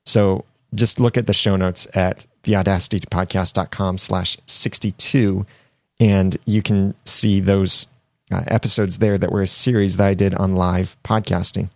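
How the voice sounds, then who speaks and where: A man's voice: low voice, level tone, normal speed, no echo. A young man hosting his own podcast, chatty and upbeat, talking through tips while getting over a cold. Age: young adult. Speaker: podcast host. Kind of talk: solo podcast tips monologue.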